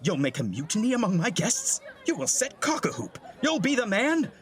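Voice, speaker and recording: An actor playing an older, domineering, hot-tempered uncle in Shakespeare, imperious and blustering as master of the house. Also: male voice, high-pitched, wildly swooping pitch, normal speed. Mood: angry scolding. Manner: blustering, imperious, swinging from scolding to jovial. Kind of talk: classical Shakespearean stage acting.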